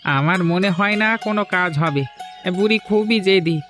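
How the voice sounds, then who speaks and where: Man voicing high-pitched, gloomy village man. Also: unhurried, a bit bright. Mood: grave, resigned. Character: gloomy, resigned village man, the farmer's friend. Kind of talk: scripted cartoon story dialogue. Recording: music under the voice.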